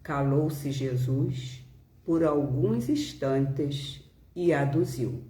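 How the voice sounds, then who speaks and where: A woman with a Brazilian Portuguese accent leading a devotional reflection with prayer and reading, solemn and deliberate, pausing between short phrases. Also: low-pitched, dark tone. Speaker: volunteer leader of a spiritist prayer live stream. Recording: roomy, echoing home live stream.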